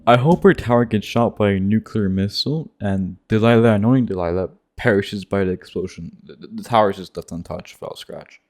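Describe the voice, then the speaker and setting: A man's voice: lowish voice, brisk, few pauses, a little mellow. A man narrating casual, scripted video game commentary in an offhand, irreverent way. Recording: music behind the voice. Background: guitar music.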